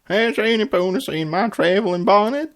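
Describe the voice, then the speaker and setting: A young female pony from a cartoon, acted out by a man, pitched higher. She is stubborn and fussing, with an insistent, emphatic way of making her point. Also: expressive, normal speed, fairly bright tone. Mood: defensive.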